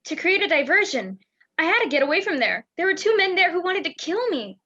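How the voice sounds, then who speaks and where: A woman's voice: extremely high pitch, sing-song, brisk, bright, forceful tone, full character voice. A young woman playing a frightened, secretive spy in an amateur radio play, speaking urgently and dramatically. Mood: tense, earnest. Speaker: student actor in a school radio play. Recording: dull lo-fi home recording.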